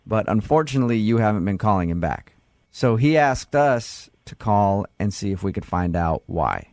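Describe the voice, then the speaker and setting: Animated American male morning radio host. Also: on the low side, moderate pace, dry recording. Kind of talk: lively radio banter and phone interview.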